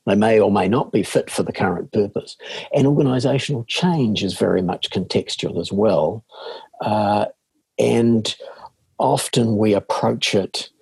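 A middle-aged man with a New Zealand accent, a guest on a video-call interview, answering in a measured way with frequent fillers and restarts. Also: lowish voice, gravelly, darkish tone, husky, dry recording. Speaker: guest, leadership consultancy chair. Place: home in a village.

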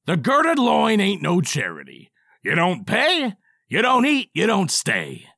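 A man voicing a burly, scarred old male dwarf barkeep who is gruff and mocking. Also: high voice, wildly swooping pitch, measured pace, bright, forceful tone, dry recording, clean studio sound, pitched above the performer's own voice, heavily acted. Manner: bellowing and mocking.

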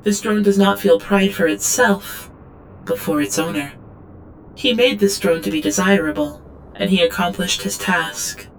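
A woman's voice: mid-range voice, expressive, unhurried, creaky, a little hiss or hum, poor audio. An actress playing an obedient female android drone in an audio drama, reciting logs in a clipped, literal, detached way. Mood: earnest, devoted. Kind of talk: audio drama log narration.

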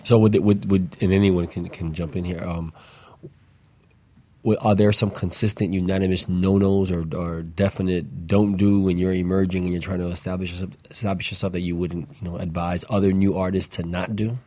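A man hosting a panel talk, putting long, halting questions to the guests. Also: low-pitched, little pitch movement, warm tone. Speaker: host, moderator.

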